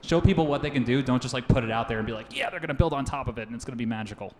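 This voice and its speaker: A man on a conference panel giving direct, practical advice, rapid and blunt. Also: mid-range voice, darkish tone, slight background noise. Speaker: panelist, investor and builder. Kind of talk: panel debate answer. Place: large conference hall. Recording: roomy echoey conference hall.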